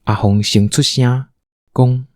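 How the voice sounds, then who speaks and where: A man's voice: medium pitch, steady tone, slow pace, no echo, studio quality. A man with a Taiwanese accent narrating a scripted audio drama as a storyteller.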